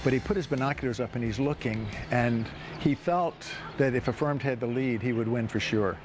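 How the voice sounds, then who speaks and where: A man with a medium-pitched voice reminisces in an interview. He is reflective and a little halting, with music clearly audible underneath.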